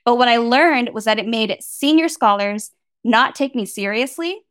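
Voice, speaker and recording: American woman, guest academic, higher voice, candid, matter-of-fact, conversational, dry sound. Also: moderate pace, no room sound. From San Francisco Bay Area, United States. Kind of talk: candid conversational interview answer.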